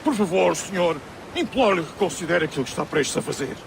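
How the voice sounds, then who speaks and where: A frightened captive in a video game, high and fast, his pitch leaping about. He pleads frantically. Sea noise surrounds the voice. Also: lively intonation, vocal fry. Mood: pleading. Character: frantic, pleading Portuguese male captive informant.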